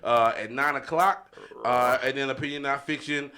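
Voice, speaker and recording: A man's voice: medium pitch, moderate pace, bright, forceful tone, clean tone. A man hosting a podcast in casual, slangy banter, repeating catchphrases like a hype man. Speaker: podcast host.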